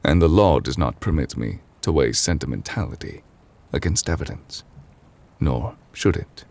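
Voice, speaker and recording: A man playing an ancient, weary chief judge in an intimate ASMR roleplay, formal and gentle, speaking in measured, hesitant phrases. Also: animated, exaggerated voice. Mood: sombre, weary. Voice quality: husky, breathy low voice. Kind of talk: intimate ASMR audio roleplay, slow dramatic monologue.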